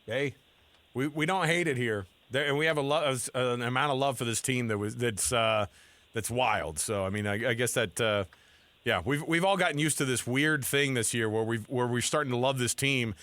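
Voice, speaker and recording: A man's voice: medium pitch, normal speed, fairly bright tone, dry recording. A man hosting sports talk radio, chatting casually in a rambling, colloquial way.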